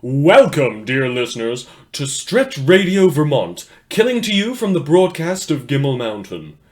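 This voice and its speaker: Man acting as small-time radio newsman, highly varied pitch, rambling, self-deprecating, dryly sardonic, post-apocalyptic fiction podcast. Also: very animated, measured pace. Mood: theatrical, sardonic. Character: awkward, wry small-time radio news host in post-apocalyptic city. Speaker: radio news host. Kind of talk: fiction podcast, mock radio news broadcast.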